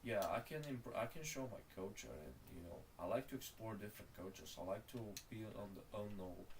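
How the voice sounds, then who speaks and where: Bulgarian guy streaming, lowish voice, rambles and swears a lot, bit far from the mic, faint hiss. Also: fairly quick. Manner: rambling, profane, calls the listener bro. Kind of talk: casual rant while gaming.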